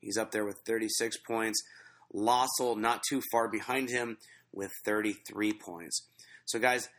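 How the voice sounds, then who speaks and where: Lowish-voiced male podcast host with a cold. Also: measured pace. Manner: relaxed and chatty, with many fillers. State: stuffy from a cold, sniffling. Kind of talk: casual podcast talk reading out fantasy football stats.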